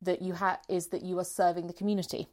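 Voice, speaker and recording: A woman's voice: on the low side, flat delivery, rapid-fire, darkish tone. A woman speaking enthusiastically and conversationally in a casual discussion.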